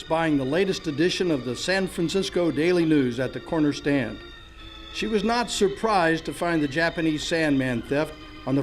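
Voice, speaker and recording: A man's voice: fairly high pitch, natural voice, low-quality recording. A man acting as the narrator of an old-time radio detective drama, reading scripted storytelling. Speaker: narrator, amateur radio club performer.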